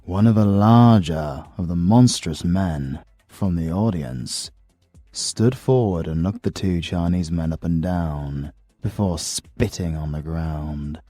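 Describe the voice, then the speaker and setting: Deep-voiced British audiobook narrator. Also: male voice, dark tone, no room sound, soft background music. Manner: slow, low and deliberate storytelling.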